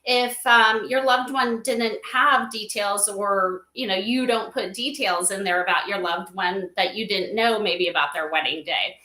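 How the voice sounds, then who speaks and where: A woman's voice: a little high, sharp tone. A woman explaining conversationally as an interview guest, acting out quoted lines as she goes. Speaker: podcast guest, company advisor. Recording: roomy, echoey sound.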